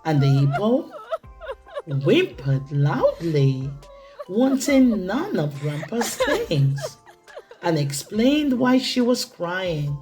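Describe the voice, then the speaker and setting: A woman reading a children's picture book aloud in an expressive, theatrical way, with deliberate phrasing for young listeners. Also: lowish voice, over music, rough recording. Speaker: children's storytime reader.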